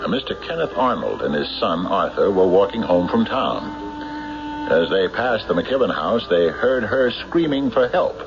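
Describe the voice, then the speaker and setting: A man playing a tough, skeptical sheriff in an old radio drama, measured, dry and probing, on a muffled recording. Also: lowish voice, wildly swooping pitch, over music, exaggerated voice. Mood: brooding narration. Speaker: sheriff narrating his own investigation. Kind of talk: old-time radio drama acting and narration.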